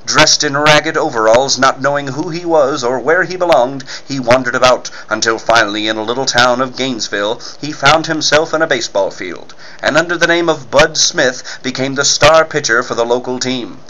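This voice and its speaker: A man's voice: medium pitch, normal speed, few pauses, pushed and bright, poor audio. A man reading scripted narration and ad copy as an emphatic, punchy 1940s-style radio announcer. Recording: faint background noise.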